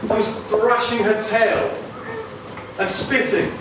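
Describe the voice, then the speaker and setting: A man's voice: sits high, fairly even intonation, slow pace. A man narrating a scripted story as part of a live puppet show. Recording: echoey noisy hall, far from microphone.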